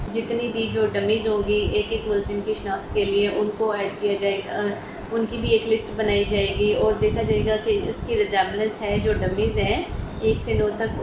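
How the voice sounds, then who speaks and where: A woman with a Pakistani accent giving an informal legal lecture, explaining step by step and mixing in English legal terms. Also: medium pitch. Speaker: advocate teaching law. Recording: echoey room, clear background noise.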